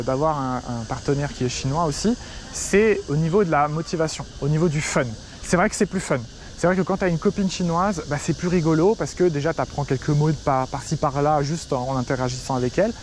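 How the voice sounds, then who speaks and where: A young French man speaks chattily and directly to the viewer, his voice sitting a little high for a man. He is recorded outdoors, with a faint hiss of insects and ambience behind him. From France. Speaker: Chinese teacher. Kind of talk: casual talking-head advice video. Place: outdoors in front of a city skyline.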